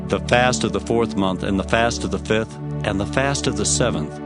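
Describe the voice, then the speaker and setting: A man's voice: fairly low pitch, normal speed. A man narrating scripture dramatically in a solemn, declamatory manner. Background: music.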